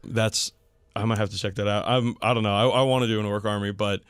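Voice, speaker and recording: A man's voice: on the low side, fairly even intonation, quick pace, smooth, no room sound, studio quality. A man co-hosting a hobby podcast, talking casually and enthusiastically, with some swearing. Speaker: podcast co-host.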